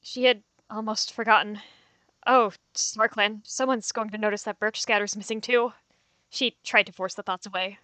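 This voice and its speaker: A woman's voice: medium pitch, steady tone, normal speed, pushed and bright, no room sound. A woman narrating her character in an animal roleplay, casual and a little hesitant. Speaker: roleplay player.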